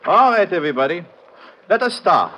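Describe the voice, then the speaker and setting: Higher-voiced mountain guide. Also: male voice, big pitch swings, brisk. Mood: brisk, businesslike. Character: practical, cautious male Alpine mountain guide. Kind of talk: 1950s radio adventure acting. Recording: noisy old radio recording.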